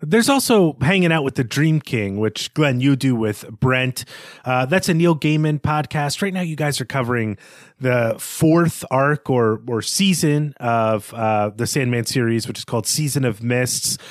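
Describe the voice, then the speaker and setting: A man's voice: mid-range voice, expressive, measured pace, dry recording, clean studio sound. A man co-hosting a podcast, explaining and thinking aloud in a conversational literary discussion. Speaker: podcast co-host.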